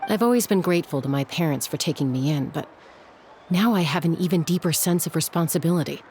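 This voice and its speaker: A woman's voice: sits low, quick pace, a little mellow, slight background noise, lightly acted. A woman playing a subdued, dutiful young woman carrying grief in a video game, reserved, hesitant and sincere. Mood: solemn, determined. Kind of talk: scripted video game voice acting.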